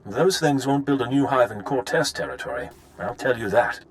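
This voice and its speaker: A man's voice: mid-range voice, normal speed, lightly acted. A man playing a dutiful, polite military captain in a video game, deferential and plain-spoken. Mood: brisk, respectful. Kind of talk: scripted video game drama acting.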